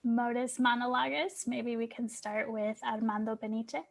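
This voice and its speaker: A woman with a slightly high voice acts as host. She delivers a prepared introduction, the kind used to open an event and present guests. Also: little pitch movement, normal speed, vocal fry.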